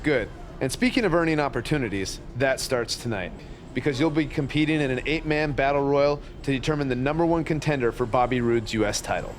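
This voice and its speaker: A man plays a wrestling show boss in a video game cutscene. He is friendly but firm and mentoring, with a medium-pitched, plain-spoken delivery over faint background noise. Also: a little fast, lightly acted. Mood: firm, welcoming.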